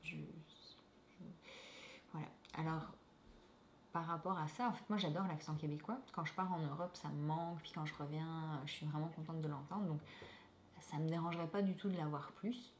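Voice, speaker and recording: A woman's voice: low voice, fairly even intonation, moderate pace, roomy sound, slight background noise. A young woman from Belgium with a Belgian French accent lightly colored by Québec, chatting casually to her viewers with lots of fillers and restarts. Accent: Belgian French, lightly tinged by Québec. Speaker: vlogger answering viewer questions. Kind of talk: casual vlog talk.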